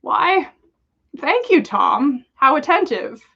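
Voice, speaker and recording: A woman's voice: high voice, sing-song, slow, creaky, bright, forceful tone, dull audio, pitched above the performer's own voice, exaggerated voice. A woman voicing a cheerful, unbothered old male wizard in a breezy, amiable manner for a fan fiction reading.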